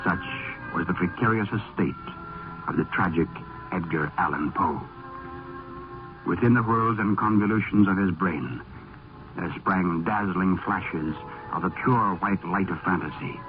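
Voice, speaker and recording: An actor playing a grave, ominous storyteller, narrating solemnly and dramatically in the style of 1950s radio. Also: male voice, deep voice. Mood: solemn, brooding. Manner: solemn, portentous, slow dramatic delivery. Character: grave, ominous male storyteller of horror tales. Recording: tinny old radio recording over music.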